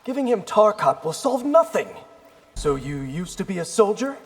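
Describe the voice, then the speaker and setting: An actor playing an earnest, plainspoken young fighter in a fighting game, speaking in a candid, conversational way. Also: male voice, high-pitched, big pitch swings, normal speed. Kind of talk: fighting game character dialogue. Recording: distant, loud background noise.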